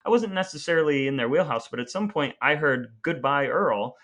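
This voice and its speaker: A man's voice: a little high, animated, brisk, fairly bright tone. Male podcast co-host, conversational and loose, dryly wry delivery. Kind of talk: casual chat.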